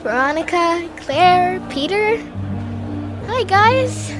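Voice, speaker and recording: An anxious young girl in an audio drama. Her voice is high and unhurried, full of hesitant, wide-eyed earnestness. Faint music sits underneath. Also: expressive, exaggerated voice. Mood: earnest.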